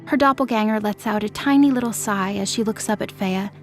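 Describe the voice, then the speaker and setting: A woman's voice: mid-range voice, fairly quick, faint music behind. A woman narrator reading scripted, dramatic fiction for an audio drama.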